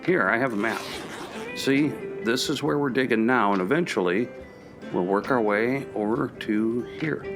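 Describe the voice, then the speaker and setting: Radio drama: considerate male professor, played by an actor, mid-pitched, reassuring everyday delivery, music underneath. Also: normal speed, lightly acted. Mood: explanatory. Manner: conversational, reassuring. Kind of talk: naturalistic radio play acting.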